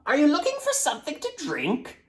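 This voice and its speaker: A woman's voice: wildly swooping pitch, normal speed. A woman voicing a withered, kindly old male storyteller who spins tales in a theatrical, mysterious way. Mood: inviting. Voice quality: rumbling.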